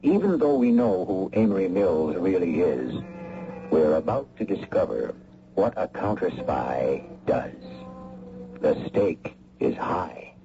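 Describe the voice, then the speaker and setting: A man narrating a radio mystery as host, deliberate, ominous and rhetorical in a theatrical old-time style. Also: low-pitched, big pitch swings, gravelly, background noise, full character voice. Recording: dull old radio recording with music.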